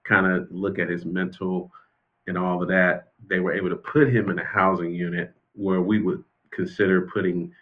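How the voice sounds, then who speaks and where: An American man speaking as an expert interview guest and former prison official, explaining things in a measured, conversational way. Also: deep voice, little pitch movement, phone-quality sound. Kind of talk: unscripted interview commentary.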